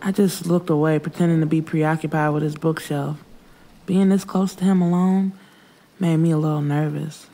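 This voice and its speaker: A woman's voice: low-pitched, steady tone, moderate pace, warm tone. A woman narrating first-person urban fiction in a casual, frank, streetwise way. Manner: frank, streetwise delivery with swearing. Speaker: audiobook narrator.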